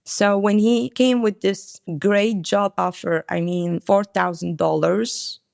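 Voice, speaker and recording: A woman's voice: medium pitch, steady tone, takes it slowly, vocal fry, a little mellow, dry recording, studio quality. A woman in her twenties from Morocco, speaking English as a second language, answers interview questions hesitantly, often searching for words. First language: not English. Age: 20s. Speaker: interview guest. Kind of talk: conversational interview.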